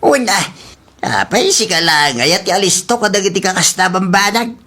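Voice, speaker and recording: A woman's voice: medium pitch, expressive, moderate pace, sharp tone. A woman playing a blunt, disapproving gossipy husband in a comedy audio drama.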